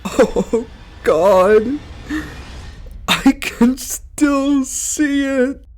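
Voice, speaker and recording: A man's voice: very high voice, extremely slow, pitched above the performer's own voice, heavily acted. A man voicing a terrified female oracle who has become hysterical with fear and is sobbing her pleas. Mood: screaming terror. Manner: shrieking, sobbing repeated pleas. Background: music.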